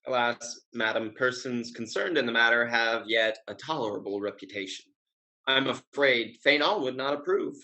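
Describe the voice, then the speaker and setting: Actor as polite, wry period-comedy gallant: young man, mid pitch, sharp forward tone. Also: normal speed, rough recording. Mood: politely sly. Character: courteous, wry young gentleman playboy. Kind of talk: Restoration comedy stage acting.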